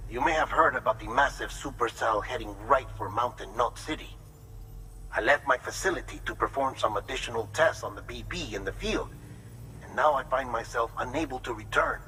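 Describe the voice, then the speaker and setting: A man playing an earnest, uneasy researcher with a halting manner in a video game cutscene. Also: mid-range voice, pushed and bright, natural voice, rough recording. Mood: worried. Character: earnest, uneasy male researcher, hesitant confider.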